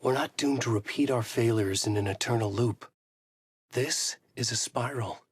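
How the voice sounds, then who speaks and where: An actor playing a haunted, desperate horror writer, narrating in a brooding, intense noir style for a video game. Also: male voice, mid-range voice, normal speed, husky, no room sound, clean studio sound. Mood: hushed, intense. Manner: brooding, intense, terse delivery. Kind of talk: dramatic first-person noir narration.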